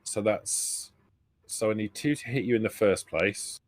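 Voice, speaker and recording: A man's voice: on the low side, measured pace. A man acting as game master in a tabletop roleplaying game, talking through the rules step by step in an easygoing way.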